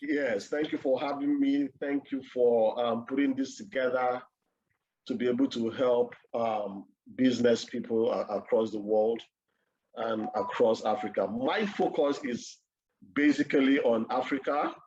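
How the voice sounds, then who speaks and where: A man's voice: mid-range voice, unhurried, darkish tone, muffled recording. A man from Nigeria, giving an earnest conference talk over a video call and telling his own story. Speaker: conference speaker. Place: office.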